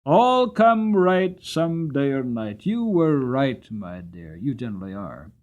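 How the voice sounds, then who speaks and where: A man's voice: mid-range voice, sing-song, takes it slowly, darkish tone, lo-fi sound. A man voicing an upright, kindly cab driver in a dramatic storybook reading, with a firm, emphatic, moralizing manner. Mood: merry, affectionate.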